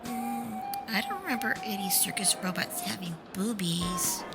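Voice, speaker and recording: A woman's voice: medium pitch, huge pitch swings, a little slow, music in the background. A woman acting as a witty, cheeky six-year-old girl with mock-innocent sass in an audio drama. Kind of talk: amateur radio drama acting.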